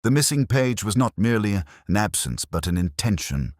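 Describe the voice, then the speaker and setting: A man reading a scripted first-person detective story in a measured, brooding, literary manner. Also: fairly low pitch, sing-song, a little mellow, dry recording, studio quality.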